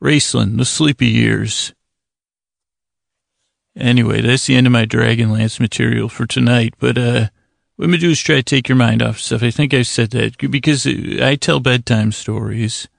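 Very creaky, low-key rambling man. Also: steady tone, no room sound, clean studio sound. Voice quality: creaky, gravelly. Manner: meandering, halting, tangent-prone delivery with self-interruptions. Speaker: podcast host telling bedtime stories. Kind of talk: rambling sleep-podcast monologue.

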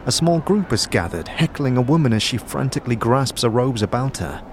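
A man's voice: mid-range voice, very animated, brisk, slight background noise. A man narrating a fantasy audio drama in dramatic, scripted second person, as a storyteller. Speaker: narrator, game master. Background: music bed.

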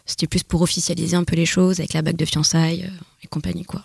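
A woman's voice: on the low side, level tone, fast talker, vocal fry, a little mellow, slightly rough, no echo, clean studio sound. Hesitant young woman telling her story. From Portuguese heritage, bilingual. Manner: hesitant, many fillers and restarts. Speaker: guest. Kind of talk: personal storytelling in conversation.

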